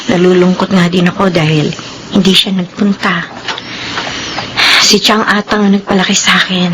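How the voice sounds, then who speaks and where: An actress with a Filipino accent playing a loving, supportive young wife in a radio drama, gentle and reassuring. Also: female voice, lowish voice, steady tone, unhurried, pauses often, lightly acted. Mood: wistful, hopeful. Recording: clear background noise and ambience.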